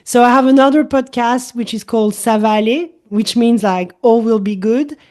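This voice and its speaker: A woman's voice, a little higher than most, speaking English with a clear French accent. She talks as a middle-aged holistic coach in a relaxed interview and explains her views thoughtfully. She often comes back to the same point in slightly different words. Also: moderate pace. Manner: thoughtful, explanatory, repeats key phrases. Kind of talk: casual interview chat.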